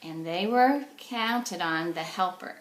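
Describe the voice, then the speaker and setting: A woman speaks slowly in a roomy, echoing space, in the style of a teacher at children's story time. She explains things in simple words and puts little questions to her young listeners as she reads. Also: lowish voice. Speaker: children's teacher reading stories. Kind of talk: animated storybook read-aloud for young children.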